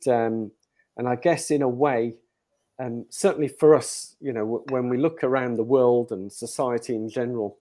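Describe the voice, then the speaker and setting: Mellow-voiced Italian-born man chatting. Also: mid-range voice. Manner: rambling, many fillers and restarts. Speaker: host. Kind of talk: casual video call chat.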